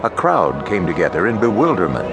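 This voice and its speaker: A man's voice: low-pitched, wildly swooping pitch, brisk, creaky. A man reading scripted Bible narration for a dramatized audiobook. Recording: loud music under the voice. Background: loud dramatic music.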